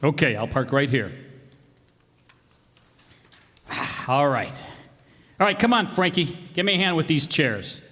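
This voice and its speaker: A man's voice: on the high side, sing-song, normal speed, fairly bright tone, in a large hall. A man playing a brash, folksy, self-satisfied bandleader in a radio comedy, with a breezy, mock-grandiose way of talking. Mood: breezy, cocky. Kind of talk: old-time radio comedy acting before an audience.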